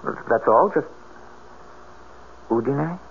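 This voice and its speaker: A lonely young recluse in a 1970s radio drama. He is gentle and earnest, hesitant, with bitterness underneath, in a middle pitch. The recording is narrow and noisy. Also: animated, slow pace, creaky. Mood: puzzled, concerned.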